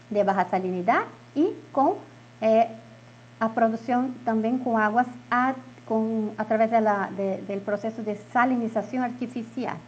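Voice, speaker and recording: A woman's voice: fairly high pitch, a little mellow. Woman with Spanish accent hosting a live. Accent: Spanish-speaking, mixing Spanish into Portuguese. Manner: chatty, adds tag questions. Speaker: interviewer host. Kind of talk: live online interview. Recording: dull lo-fi sound with faint noise.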